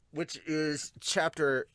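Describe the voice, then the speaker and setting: A man's voice: fairly high pitch, a bit bright, clean tone, no echo. A congested, sniffly man hosts a casual reaction show in a dry, close recording. He rambles along in a self-deprecating way and swears mildly as he comments. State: congested, sniffly from sinus trouble. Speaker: podcast host and manga reactor.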